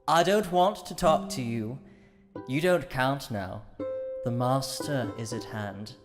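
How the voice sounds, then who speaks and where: A man's voice: medium pitch, slow pace, lightly acted. An actor playing a haughty, testy asylum madman, grandiose and cryptic, in a radio play. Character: haughty, testy madman patient raving with religious mania. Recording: long hall reverb, music underneath.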